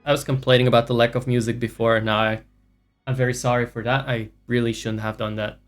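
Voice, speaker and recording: A man's voice: mid-range voice, flat delivery, fast, faint music behind. A man livestreaming a video game, giving casual commentary in a profane, sarcastic, ranting way. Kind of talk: casual live gameplay commentary.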